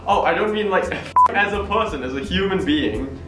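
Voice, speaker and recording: A young man interviewing students, asking relaxed, plain questions. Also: high-pitched, normal speed, reverberant, over loud music, rough recording.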